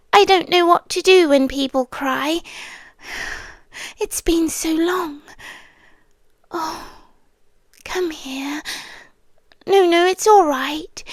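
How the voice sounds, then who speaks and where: In this audio drama, a high, breathy whisper belongs to a lonely, half-monstrous trapped woman, voiced by a woman. She talks slowly and intimately, coaxing the listener close. The sound is close and dry. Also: no room sound, heavily acted. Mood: tender. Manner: slow, soft and intimate, coaxing. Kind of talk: second-person audio drama.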